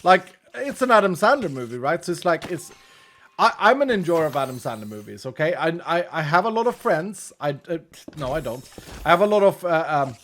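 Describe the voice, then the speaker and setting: A man's voice: a little high, a bit bright. A Swedish-born man, Swedish his first language, speaking English with a Swedish accent in a slightly raised voice. He chats loosely with his stream's viewers, laughs at himself and often leaves sentences hanging. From Sweden. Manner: chatty, self-deprecating, laughs often, trails off mid-sentence. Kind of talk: casual chat while gaming, reading chat.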